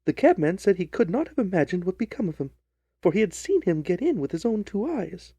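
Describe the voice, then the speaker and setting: A man's voice: high voice, big pitch swings, a little fast, dark tone, husky, no echo, full character voice. A man voicing an earnest, trusting, distressed young jilted bride in a dramatised story reading. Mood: earnest, recounting. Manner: earnest, wordy, pleading.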